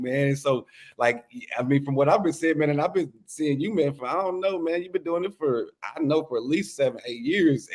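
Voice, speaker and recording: Chatty podcast host man, higher voice. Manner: hyped, chatty, drops "man" often. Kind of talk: casual interview chat.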